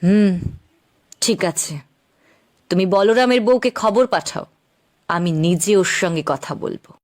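A woman's voice: mid-range voice, a little slow, natural voice. A woman voicing a bossy, haughty landlord's wife in a cartoon folk tale. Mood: scornful, bossy.

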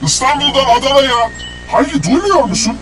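An actor voicing a lively, teasing child in an animated children's series, with music playing behind. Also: sits high, a little fast. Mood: exasperated.

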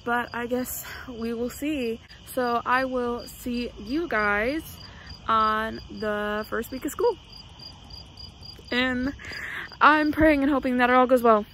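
A teenage girl with a hoarse, strained voice, chatting casually and trailing off with ums as she vlogs into a phone held at arm's length. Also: a little high, fairly even intonation, slow pace. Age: teens. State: hoarse from laryngitis. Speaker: vlogger. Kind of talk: casual vlog narration. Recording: phone audio with loud background noise.

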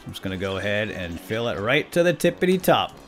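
A man with a fairly low voice gives casual let's play commentary. His pitch moves around a lot as he tosses out playful exclamations and asides. Music plays underneath. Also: sing-song, fast.

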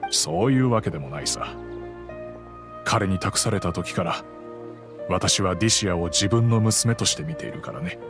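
A low-pitched, middle-aged gentleman, head of a rich household. He speaks in a measured, gentle and fatherly way with some remorse, as in an anime game story. Soft music runs underneath. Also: animated. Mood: earnest, fatherly.

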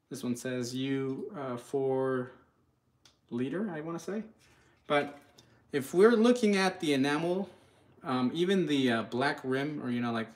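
A man giving casual live product commentary in a home kitchen, speaking informally and a bit hesitantly straight to viewers. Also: mid-range voice. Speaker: product reviewer, channel host.

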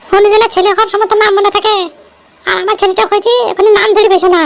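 Woman playing a cartoon village mother, extremely high voice, quick, scolding, quarrelsome. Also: level tone, creaky. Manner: scolding, abusive. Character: shrill quarrelsome young village wife and mother. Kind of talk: amateur comic cartoon dubbing.

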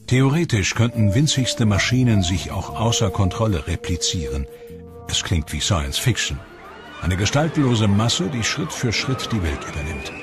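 A low-pitched male voice reads a documentary script at a measured pace. He lets his voice sink on the darker lines. A clear, steady music score runs underneath him. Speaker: documentary narrator.

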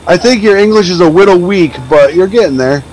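A man's voice: sits high, brisk, a little mellow, slight background noise, low-quality recording. A man in a voice chat with friends during a truck game, teasing and laughing at the host. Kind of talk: casual group chat.

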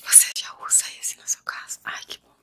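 A woman in her twenties with a Brazilian Portuguese accent, whispering softly and gently in an ASMR roleplay as a hairdresser talking to a client. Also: rumbling low, monotone, brisk, gravelly, slight background noise. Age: 20s. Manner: soft, gentle, addressing the listener as a client. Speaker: ASMR creator playing a hairdresser. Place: small room at home.

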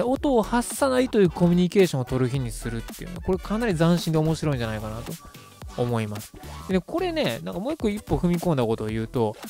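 Chatty guy doing a casual radio show, really animated pitch, bit of music behind him. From Japan, Kansai region. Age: around 30. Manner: chatty, self-described talkative. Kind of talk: casual monologue pitching an idea.

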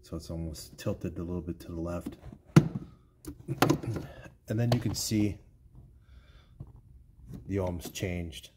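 A man's voice: sits low, takes it slowly, pauses often, heavy background noise. A man working as a mechanic, explaining a repair step by step in a plain, matter-of-fact way from his garage workshop. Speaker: snowmobile mechanic. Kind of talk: hands-on repair tutorial.

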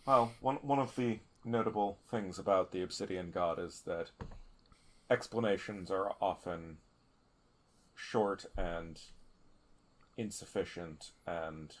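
A man roleplaying in a tabletop game, speaking in a formal, deliberate manner. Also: sits low, a little hiss or hum. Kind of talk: in-character roleplay.

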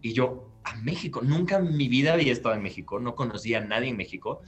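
A man, an actor recounting his career in an interview over a video call from a bright living room. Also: mid-range voice, fast talker, faint music behind. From Peru. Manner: animated, gestures while recounting, restarts phrases. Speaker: guest actor. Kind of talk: storytelling interview answer. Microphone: laptop webcam on video call.

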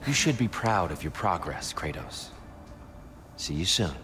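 An actor playing a wise warrior god in a video game, speaking in a measured, articulate, philosophical way. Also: male voice, low voice, animated, sharp tone, slight background noise, heavily acted. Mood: approving. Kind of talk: dramatic game acting.

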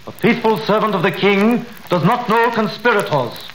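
Cold prosecutor, measured, authoritative, 1930s radio drama, roomy sound, faint hiss. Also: male voice, high voice, lively intonation, fairly bright tone. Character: cold, guarded crown prosecutor, grown man.

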